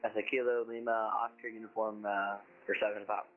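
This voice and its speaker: A man's voice: fairly low pitch, level tone, fast talker, phone-quality sound, soft background music. A man speaking as a pilot making casual, somewhat hesitant calls to air traffic control over a radio link. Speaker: virtual pilot.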